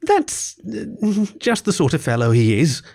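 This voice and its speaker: Man voicing a lively, chatty storybook river rat. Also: on the high side, moderate pace, pitched above the performer's own voice. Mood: chatty, wry. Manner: cheery, chatty, old-fashioned British idiom. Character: friendly, talkative river rat, easygoing gentleman. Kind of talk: storybook character voice.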